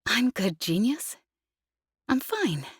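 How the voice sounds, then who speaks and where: A woman's voice: a little high, very animated, slow pace, slightly rough, no room sound, clean studio sound, pitched above the performer's own voice, exaggerated voice. A woman voicing a cocky, guarded young woman who sounds jaunty and flippant, in an audiobook. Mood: forced cheer.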